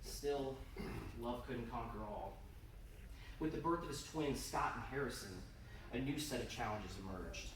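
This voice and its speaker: A man's voice: mid-range voice, a little slow. A man giving a theatrical, emphatic live reading of his own horror story as its author. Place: indoor convention event room. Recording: roomy, noisy live room, distant mic.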